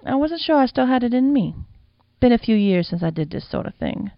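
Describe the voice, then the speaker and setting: A woman's voice: fairly high pitch, big pitch swings, a little fast, dry recording, highs cut off, pitched above the performer's own voice. A woman voicing a brassy, teasing older woman who talks in a folksy, mocking drawl.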